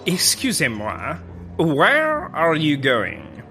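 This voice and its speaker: A haughty French maitre d' of a posh club in a comic audio drama. The delivery is slow and officious, with a bright, sharp edge and huge swoops in pitch. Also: female voice, huge pitch swings, faint music behind, full character voice. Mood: snooty, officious.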